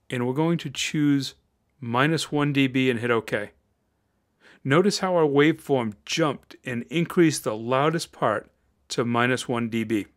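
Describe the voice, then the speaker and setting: A man with a deep voice, speaking close to the microphone, walking viewers through a software tutorial in an upbeat, encouraging way. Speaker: voice-over tutorial host. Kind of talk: screen-recorded software tutorial.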